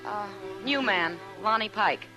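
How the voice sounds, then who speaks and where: A woman's voice: medium pitch, lively intonation, a little slow, music in the background, lightly acted. An American woman playing a wry, easygoing saloon woman in an old-time radio western, teasing and friendly on a vintage recording. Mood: knowing.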